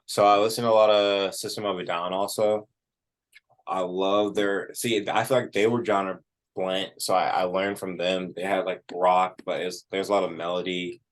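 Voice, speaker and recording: Man, low deep voice, musician guest, casual interview chat, informal phrasing, filler "like". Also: little pitch movement, normal speed.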